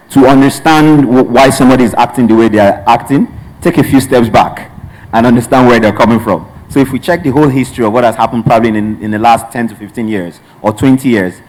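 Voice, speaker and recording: A man's voice: medium pitch, a little mellow, rough recording. A man from Nigeria speaking on a conference panel in a mid-range voice. He talks earnestly and at length, circling back and restarting his sentences as he works through his point. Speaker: panelist, hub representative.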